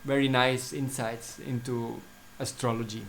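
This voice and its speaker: A man from Switzerland whose first language is German, speaking English in a casual solo video about his astrology services, halting often with fillers and restarts. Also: mid-range voice, level tone, vocal fry, fairly bright tone, low-quality recording.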